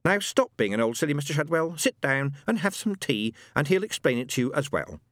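A man voicing a kindly middle-aged woman who gently scolds in a motherly way. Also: on the high side, very animated, a little fast, dry recording, clean studio sound, voice pushed up. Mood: coaxing.

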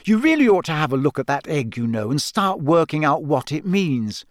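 Man voicing an earnest, high-pitched schoolgirl. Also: very animated, normal speed, no echo, clean studio sound. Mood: insistent. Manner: prim, insistent. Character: earnest, nagging teenage schoolgirl.

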